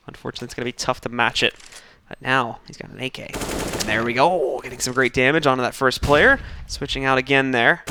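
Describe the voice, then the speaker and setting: A man calling an esports match at a rapid clip, breaking down each play in detail. His voice is bright and forward, with a faint noise floor behind it. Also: medium pitch, gravelly. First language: English. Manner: rapid, analytical play-by-play with light laughs. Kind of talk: esports commentary.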